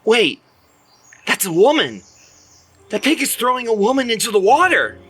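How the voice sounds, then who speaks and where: A performer playing an excitable, alarmed teenager with a husky voice in a horror audio drama. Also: medium pitch, moderate pace, very noisy, close to an everyday voice. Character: excitable, alarmed youngster named Tom.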